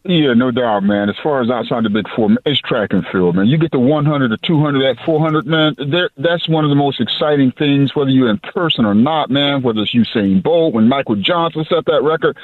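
A man's voice: medium pitch, brisk, few pauses, no room sound. A man phoning a sports radio show, talking casually and emphatically over a narrow phone line. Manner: emphatic, says "man" often. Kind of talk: casual, excited phone-in chat. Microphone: telephone.

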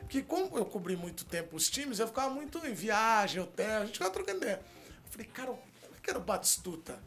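A man's voice: high voice, sing-song, fast, a bit bright. A man with a Brazilian accent hosting a casual chat, a sports reporter asking eager questions and laughing. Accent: Brazilian Portuguese.

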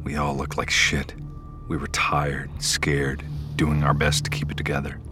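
A man's voice: extremely low pitch, completely flat delivery, unhurried. An actor playing a weary, haunted man who narrates his ordeal in the first person for an audio drama. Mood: weary, tense. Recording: music under the voice.

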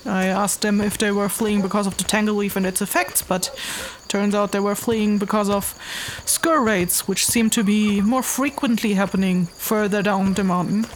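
A woman's voice: mid-range voice, fairly even intonation, normal speed, hardly any pauses, a little hiss or hum, lightly acted, poor audio. A woman playing a weary, hesitant traveling investigator in a fantasy audio drama, speaking matter-of-factly. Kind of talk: fantasy audio drama, narrated log and dialogue.

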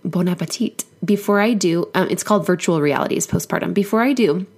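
A woman hoarse from a cold, giving an earnest, encouraging solo podcast talk as a life coach. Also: lowish voice, a little fast, a little mellow.

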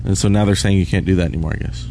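A man with a fairly low voice co-hosts a gaming podcast in a casual, conversational way. Background noise from a convention floor surrounds him. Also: fairly even intonation, rapid-fire. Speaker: podcast co-host. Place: live on an open convention floor. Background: convention ambience and loudspeaker.

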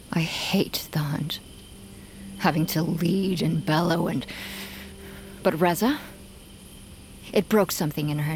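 An actress in an audio drama playing a grave, protective hunt leader who gives earnest, hushed warnings. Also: female voice, on the low side, a little hiss or hum, lightly acted. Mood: grave, confiding. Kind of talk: audio fiction drama acting.

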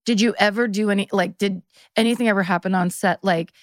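A woman's voice: mid-range voice, steady tone, fast, no echo. Playful woman in dry, close sound. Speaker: podcast co-host. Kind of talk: casual comedic banter.

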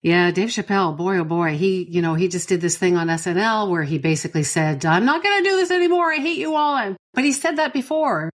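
A woman's voice: medium pitch, lively intonation, fairly quick, hardly any pauses. A middle-aged woman co-hosting a podcast, chatting casually and teasing playfully. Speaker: podcast co-host. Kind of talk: casual chat.

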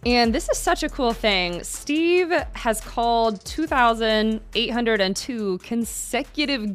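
A woman's voice: mid-range voice, a bit bright. A woman hosting a sports podcast as a beat reporter, introducing and interviewing her guest with bubbly enthusiasm. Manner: enthusiastic and bubbly, gushes with praise.

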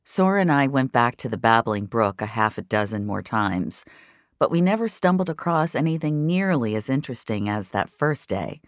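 Very deep-voiced woman narrating, dry studio sound. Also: lively intonation, normal speed, no room sound. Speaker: narrator of an audio fiction series. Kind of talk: first-person scripted dramatic narration.

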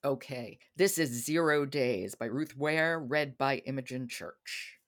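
A woman's voice: extremely low pitch, slow pace. A woman hosting a casual book discussion in an effusive, emphatic way. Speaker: podcast host. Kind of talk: casual conversational book talk.

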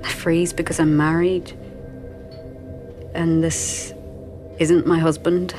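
Low-voiced, nervous, confiding married woman. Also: little pitch movement, slow, a little mellow, faint music behind. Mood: intimate, aroused. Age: 40s. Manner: hesitant, confiding, self-conscious. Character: nervous, wistful married woman in her forties confessing a fantasy. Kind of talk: intimate audio drama monologue.

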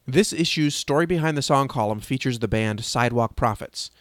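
A man's voice: medium pitch, fairly quick, no echo, clean studio sound. A man hosting an audio newsletter, reading scripted news in an upbeat announcer manner and then interviewing a guest. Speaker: host. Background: music.

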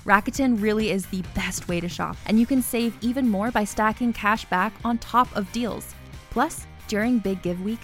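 A woman's voice: mid-range voice, a bit bright. A woman reading an ad script in an upbeat, promotional way. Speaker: ad reader. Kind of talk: scripted ad read. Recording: music bed behind.

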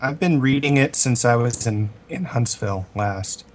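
A man co-hosting a podcast with a casual, blunt and deadpan way of talking. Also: medium pitch, moderate pace. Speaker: podcast co-host.

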